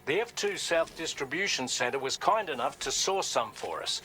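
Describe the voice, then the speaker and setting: An actor playing a formal, precise ship's officer delivering a businesslike mission briefing in a video game. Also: male voice, on the high side, sing-song, moderate pace, pushed and bright. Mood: businesslike, urgent. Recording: some background ambient noise.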